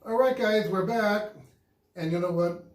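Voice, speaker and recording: A man's voice: sits high, measured pace, over loud music. A man co-hosting a casual couple's vlog, talking in an upbeat, chatty way and laughing easily. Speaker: vlog co-host. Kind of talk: casual chat. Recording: echoey room.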